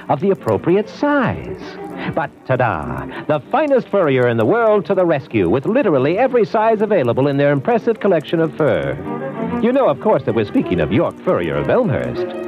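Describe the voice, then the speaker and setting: Guy doing chatty radio ad, courtly and theatrical, swoopy higher voice, music behind. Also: muffled recording. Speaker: radio host reading a sponsor message. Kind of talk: scripted, chatty radio advertisement.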